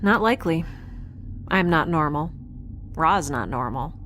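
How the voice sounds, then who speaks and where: Quirky young woman scientist, rambling, earnest, very lively intonation, sci-fi audio drama, faint background noise. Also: sits low, unhurried. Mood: weary, threatening. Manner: rambling, babbling, animated, earnest.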